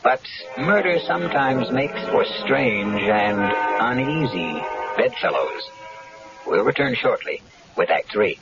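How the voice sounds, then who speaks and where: A male host narrates in a measured, authoritative way between acts of a radio mystery. The old broadcast is dull and muffled, with music playing clearly beneath him. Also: medium pitch, lively intonation. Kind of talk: scripted narration.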